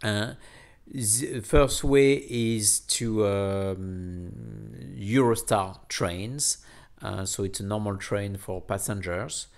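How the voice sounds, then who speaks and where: A man narrating a casual travel vlog in a hesitant, halting way, often repeating words. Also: lowish voice. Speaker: vlogger.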